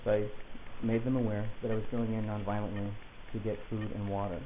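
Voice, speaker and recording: A man's voice: lowish voice, little pitch movement, brisk, natural voice. A man playing a stubborn, articulate defendant in an audio play, arguing his case insistently and pedantically. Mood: earnest. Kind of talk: argumentative dialogue in an audio play. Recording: tinny phone-like sound with hiss.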